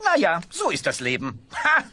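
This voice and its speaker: Brusque, high-pitched dinosaur boss. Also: male voice, wildly swooping pitch, normal speed, a crowd in the background. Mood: sarcastic, dismissive. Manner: snappy, dismissive. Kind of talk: German-dubbed family sitcom acting.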